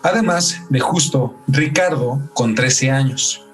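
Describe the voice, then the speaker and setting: A man's voice: medium pitch, takes it slowly, distant mic, faint music behind. A man with a Mexican accent hosting a podcast and narrating true-crime stories from a script. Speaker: podcast host.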